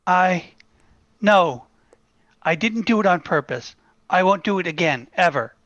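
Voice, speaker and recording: A man's voice: high voice, animated, unhurried, a bit bright, no room sound. Earnest young actor as shepherd man, muffled. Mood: troubled, resistant. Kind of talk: table read of a screenplay.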